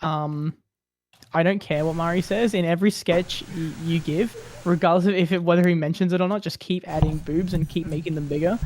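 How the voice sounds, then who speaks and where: A friend on a voice call, making deadpan teasing jokes during casual chat. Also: medium pitch, a little fast, slight background noise.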